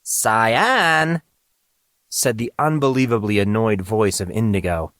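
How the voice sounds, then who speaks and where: A man's voice: fairly low pitch, lively intonation, unhurried, a bit bright, no echo. Male storybook narrator, very dry sound. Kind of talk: scripted audio drama narration.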